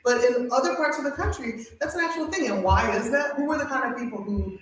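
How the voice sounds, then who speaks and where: A woman's voice: high-pitched, fast talker, a little hiss or hum. A woman policy expert explaining wage policy in a casual panel talk, asking rhetorical questions. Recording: echoey room, far from mic.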